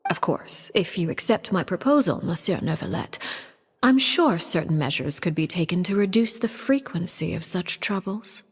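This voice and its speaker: Game villainess-diplomat: lowish voice, elegant, measured, calculating courtesy, set in a long reverberant hall. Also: female voice, expressive, husky. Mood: veiled menace. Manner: formal, measured, polite and elegant. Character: poised, calculating female diplomat, coolly courteous, veiled menace. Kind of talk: scripted video game voice acting.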